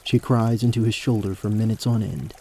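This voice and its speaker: A man's voice: fairly low pitch, steady tone, brisk, noisy background. A man delivering scripted narration for a fantasy audio drama as its storyteller.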